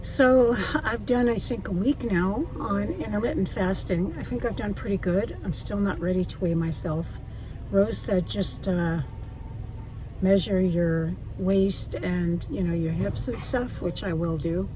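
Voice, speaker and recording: Raspy-voiced woman, unhurried and blunt, car recording with clear road noise. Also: medium pitch, recorded from a distance. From Canada. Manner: candid, frank, swears freely. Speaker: vlogger. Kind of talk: casual chat while driving. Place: inside a car. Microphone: phone or camera mounted in the car.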